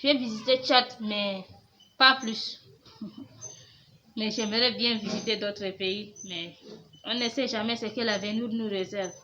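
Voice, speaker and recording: Slow, soft-spoken woman. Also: medium pitch, steady tone. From Cameroon. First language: Fulfulde. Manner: soft-spoken, hesitant, frequent pauses. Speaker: home organisation YouTuber. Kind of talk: FAQ vlog, reading and answering questions. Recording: slightly muffled lo-fi audio with faint hiss.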